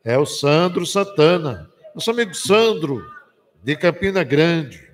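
A man with a Northeastern Brazilian accent hosting a live radio show in a folksy, exclamatory way, chatting and sending shout-outs. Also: on the high side, very animated, unhurried. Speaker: radio host. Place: small indoor room used as a webcast studio. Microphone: desk microphone close to the mouth, headphones on.

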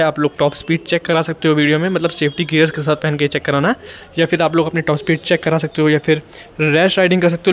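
A young man with an Indian accent giving a casual vlog tutorial, explaining informally and repetitively with English words mixed in. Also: fairly high pitch. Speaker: motovlogging YouTuber giving beginner tips. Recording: dull, lo-fi sound.

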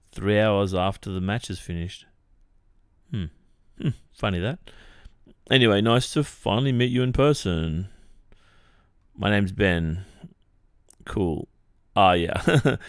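A man's voice: sits low, clean tone, no echo, clean studio sound. An Australian man playing a friendly, teasing young football captain in a hesitant, casual ASMR boyfriend roleplay. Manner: hesitant, casual, murmuring hums and chuckles.

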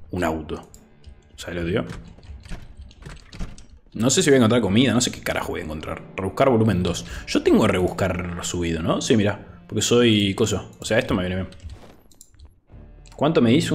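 A young Argentine man in his twenties, talking in a somewhat low voice and a relaxed, chatty way. He records on a headset mic at home, with faint music playing behind him. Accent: Argentine Spanish. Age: 20s. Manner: laid-back, chatty, says "boludo" often, reads chat aloud. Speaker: streamer. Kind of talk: casual gaming livestream chat. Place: home room, indoors. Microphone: over-ear headset.

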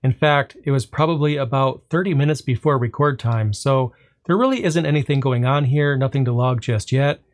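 A man narrating a screen-recorded walkthrough of a server tool, speaking at a middle pitch. He explains each step in an easygoing way and likes a little pause before the payoff. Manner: relaxed explanatory, drawn-out "well" before punchlines. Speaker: tech tutorial host.

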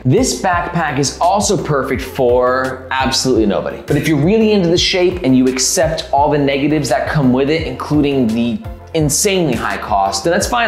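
A man in his thirties talks straight to the camera in a loose, slangy way, blunt and animated as he rates a backpack. His voice sits at an ordinary middle pitch. The office room around him rings with echo. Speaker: backpack reviewer and channel host. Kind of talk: casual direct-to-camera review. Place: office room with glass walls. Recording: echoey room.

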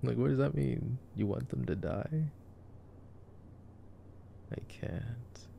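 A young man doing an ASMR boyfriend roleplay as casual movie commentary, talking in a sarcastic, slangy, low-key way. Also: slow, gravelly, background noise.

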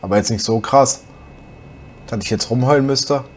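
A young man with a slight cold, hoarse, chatting casually as he plays a truck driving game for his channel. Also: medium pitch, normal speed. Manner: relaxed, chatty, musing aloud while driving. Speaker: gaming channel host.

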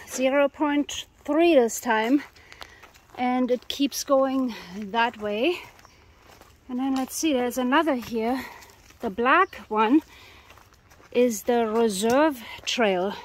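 Female family hiking vlogger, high voice, leisurely delivery, casual and chatty, recorded outdoors on a handheld camera. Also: slight background noise. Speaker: family travel vlogger and mother. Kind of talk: casual narration while hiking. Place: outdoors on a wooded trail. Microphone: handheld camera, held by the speaker.

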